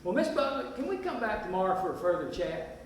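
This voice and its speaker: A plainspoken country leader of the 1920s, played by a man speaks courteously and deliberately in a stage play. The voice is high for a man and declaims through a long hall reverb with faint noise. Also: very animated. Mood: folksy, direct. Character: Mister Scroggs, courteous plainspoken rural community leader of the 1920s. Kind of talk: amateur stage play, speechmaking. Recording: distant echoey hall recording, background noise.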